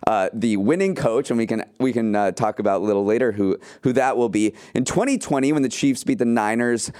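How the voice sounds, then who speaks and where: Clear-voiced American male podcast co-host. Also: medium pitch, brisk, a little mellow. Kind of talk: casual news banter with co-host.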